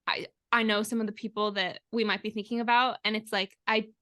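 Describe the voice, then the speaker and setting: A woman with a medium-pitched voice chats as a podcast co-host. She circles toward her points with hesitant, looping phrasing. The recording is dry, with little room sound. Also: little pitch movement, a little fast, bright, forceful tone, dry recording. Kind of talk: casual podcast chat.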